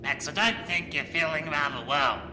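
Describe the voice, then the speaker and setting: A man's voice: on the high side, normal speed, close to an everyday voice. A man playing an insistent, shifty kitchen colleague in an audio drama. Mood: evasive, insistent. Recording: noisy room ambience behind.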